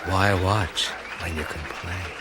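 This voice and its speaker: A roguish casino cheat with a very low, slow voice. He is suave and knowing, drawing out his words in a radio drama, with music underneath. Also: steady tone, a loud crowd. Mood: coaxing, sly. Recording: music playing behind the voice.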